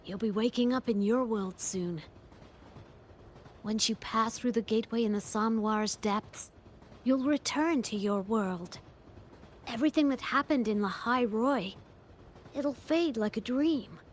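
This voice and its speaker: A woman playing a gentle, enigmatic guide between worlds in a video game, measured and solemn. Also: fairly high pitch, slight background noise, lightly acted. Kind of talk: video game story dialogue.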